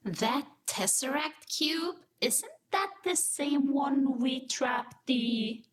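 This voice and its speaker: A woman playing a timid, devoted young female assistant, soft and deferential, in a sci-fi audio drama. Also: high-pitched, very slow.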